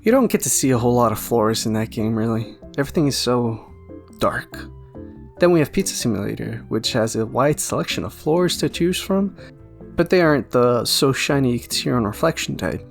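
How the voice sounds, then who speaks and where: A man's voice: medium pitch, expressive, brisk, gravelly. A man giving casual fan theory commentary, rambling and jokey with a self-deprecating streak. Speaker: YouTube video essayist. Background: background music.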